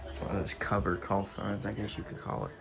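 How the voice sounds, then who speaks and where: A man's voice: lowish voice, a little fast. A man casually showing off his hobby gear in his room, rambling and hesitating as he thinks aloud. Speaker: vlogger, radio hobbyist. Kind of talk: casual unscripted show-and-tell. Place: indoors in his own room. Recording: clearly noisy home recording.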